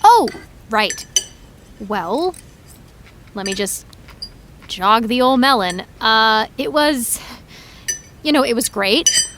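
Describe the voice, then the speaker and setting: A woman's voice: a little high, lively intonation, a bit bright, slight background noise, exaggerated voice. An actress playing a young woman about to start college, evasive and sarcastic when her parents press her. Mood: awkward. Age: young adult. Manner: hesitant, deflecting, quick comebacks. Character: evasive teenage girl, awkward and sarcastic. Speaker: actor playing a college-bound daughter. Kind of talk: audio drama acting.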